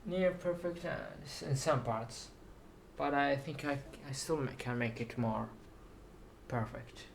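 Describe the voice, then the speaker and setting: Man, halting and unhurried, slightly ill with sore throat, rambling vlog delivery, mid-low pitch range not required, light noise behind. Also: creaky. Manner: halting, repeats words. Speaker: writer introducing and reading own story. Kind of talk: slow rambling video monologue.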